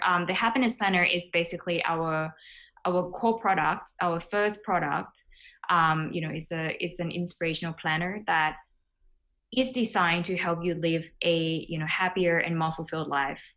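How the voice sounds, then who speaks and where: Young female founder from Thailand, lower voice, casual guest on a video call. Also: fairly even intonation, moderate pace, bright, forceful tone. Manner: casual, many fillers like "um" and "you know". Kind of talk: interview answers.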